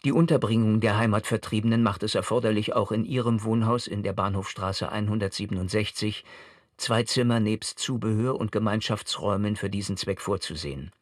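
An actor playing a formal, impersonal district official, reading out an administrative notice. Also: male voice, fairly low pitch, brisk, no room sound, clean studio sound, natural voice. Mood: formal, stern.

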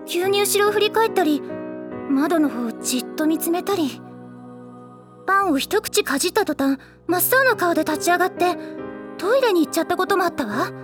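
A high-voiced gentle schoolgirl. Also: lively intonation, a little fast, over music. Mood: worried. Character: gentle teenage schoolgirl, former classmate. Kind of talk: anime drama CD acting.

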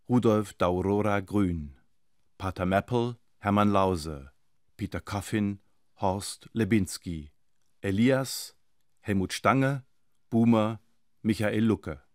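A man's voice: fairly low pitch, no room sound, clean studio sound. A man reading scripted radio drama credits as an announcer.

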